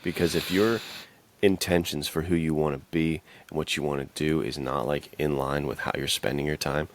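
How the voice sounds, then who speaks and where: A male podcast host with an exceptionally low, deep voice. He speaks conversationally and earnestly, thinking things through in an informal, reflective way. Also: steady tone, moderate pace. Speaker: podcast host, adventure fiction author. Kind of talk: casual chat about writing and self-discipline.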